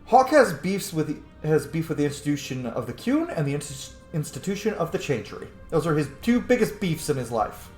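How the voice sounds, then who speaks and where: A man giving casual game commentary as he roleplays, musing out loud with emphatic words and some swearing. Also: fairly high pitch, big pitch swings, a little fast, hardly any pauses. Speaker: gamer, host of a roleplay playthrough. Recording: echoey room, faint music behind. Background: faint game music.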